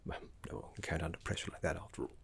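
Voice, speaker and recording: A man voicing a gentle, erudite necromancer teacher in a fantasy ASMR roleplay, speaking in a breathy half-whisper with refined, reassuring deliberation. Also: racing, natural voice. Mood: soothing, encouraging.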